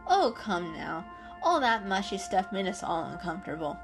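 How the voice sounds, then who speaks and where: A woman voicing a calm, sensible male cat, matter-of-fact and gently teasing, in a storybook reading. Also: mid-range voice, moderate pace, over music.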